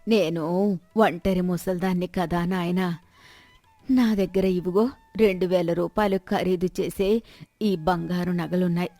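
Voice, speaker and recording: A woman's voice: lowish voice, fairly even intonation, measured pace, pauses often, dark tone, no room sound. A woman voicing a shrewd old village woman in a moral story, coaxing and plaintive, over music. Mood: pleading, plaintive. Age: older. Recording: background music bed.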